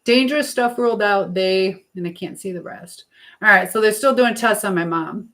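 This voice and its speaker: A woman's voice: medium pitch, moderate pace, a bit bright. A woman hosting a casual live stream, rambling between her own chat and replies to viewer comments. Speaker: live stream host and life coach.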